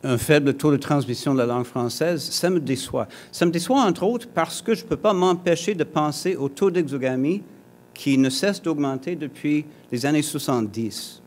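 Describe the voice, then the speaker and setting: A man's voice: fairly high pitch, animated, moderate pace, a little mellow, a little hiss or hum. English-speaking Alberta man giving a hesitant conference talk. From Alberta, Canada. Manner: conversational, joking asides to the audience; hesitant, frequent restarts and fillers. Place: conference hall.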